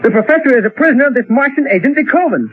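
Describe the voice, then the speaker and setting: A desperate, agitated radio-drama hero with a high voice that swings widely as he pleads. He sounds insistent and frantic, on a muffled old recording. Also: lively intonation, rapid-fire, no echo, faint music behind. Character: frantic, desperate man insisting he witnessed an alien plot.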